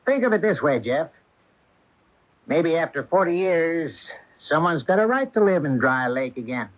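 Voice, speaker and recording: An actor playing a pragmatic, easygoing small-town mayor in a radio mystery drama, with a colloquial, placating way of talking. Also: male voice, on the high side, animated, normal speed, lightly acted. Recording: muffled, faintly noisy 1980 AM radio broadcast.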